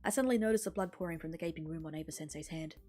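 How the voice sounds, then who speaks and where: A woman streamer reading a visual novel aloud in an expressive storytelling manner. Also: low voice, racing. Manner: expressive storytelling with character voices. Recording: music behind the voice. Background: music.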